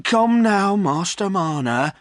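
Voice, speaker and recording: A firm, placating male village innkeeper speaks in a lively, lifted voice, as a man voices him. He talks slowly and evenly, and the reading sounds dry and close. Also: on the high side, dry recording, pitched above the performer's own voice, heavily acted. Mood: firm calming. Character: peacekeeping middle-aged male village innkeeper.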